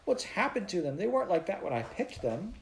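A man reading aloud, voicing an eager, excitable little girl with a raised, wondering voice in a storybook. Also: normal speed, a little hiss or hum, lightly acted. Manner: breathless, wondering, raised pitch.

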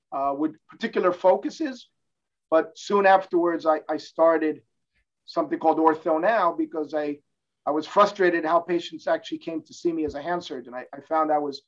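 A middle-aged man, a hand surgeon, explains things in an interview, often restarting his sentences. His voice sits fairly high, and the sound is muffled, like remote audio from an office. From New Jersey, born in Cuba. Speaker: guest, orthopedic hand surgeon. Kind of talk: casual interview talk. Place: office in his medical building.

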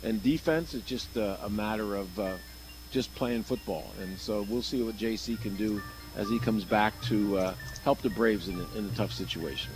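A man giving unscripted football analysis as a radio color commentator, halting and repeating words as he thinks aloud. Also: on the low side, music in the background, rough recording.

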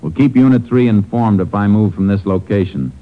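Very low-voiced male ranger detective, played by a male actor, terse and methodical, vintage radio drama, tinny hissy recording. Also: lively intonation, brisk, close to an everyday voice. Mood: official, steady. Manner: terse, laconic, matter-of-fact. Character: calm, methodical male Texas Ranger investigator. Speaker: lead detective and narrator. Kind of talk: 1950s radio drama acting.

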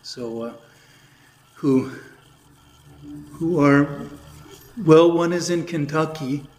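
A man, a priest recovering from illness, teaching a catechism class in a conversational way, his voice slightly raspy and a little weak. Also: on the high side, very slow, darkish tone. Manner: conversational, with many fillers and restarts. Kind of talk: informal religious teaching. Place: church classroom. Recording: echoey room.

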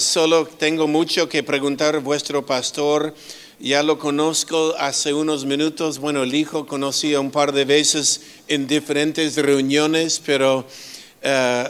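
A middle-aged man preaching as a guest speaker, slow and full of pauses and restarts, with humorous asides. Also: on the high side, fairly bright tone, clean tone. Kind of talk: sermon.

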